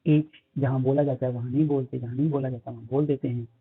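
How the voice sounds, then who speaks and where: Pakistani man with a gravelly, husky voice. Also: mid-range voice, fairly even intonation, quick pace. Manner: explains in Urdu mixed with English terms, many fillers. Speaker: phonetics teacher. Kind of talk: lecture explaining slides, reading English lines slowly. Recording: tinny phone-like audio.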